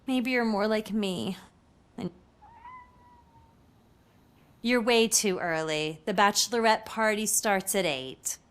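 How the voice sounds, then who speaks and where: A woman's voice: medium pitch, measured pace, clear, resonant tone, lightly acted. A woman voicing a brash, flirtatious hotel desk worker in a video game, with a teasing, sassy, casual manner.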